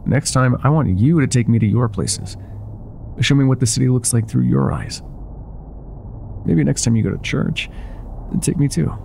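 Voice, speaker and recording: A man playing an older ex-mafia boyfriend, wry, tender and self-doubting, with a husky, breathy voice in an intimate roleplay audio. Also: mid-range voice, mellow, a little hiss or hum. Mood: tender, teasing.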